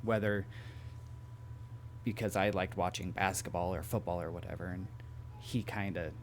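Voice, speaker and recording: A hoarse man hosting a podcast from home, talking casually and reflectively and asking questions. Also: sits low, fairly even intonation, normal speed, slight background noise. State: hoarse, clearing his throat. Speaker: podcast host. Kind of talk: casual conversation.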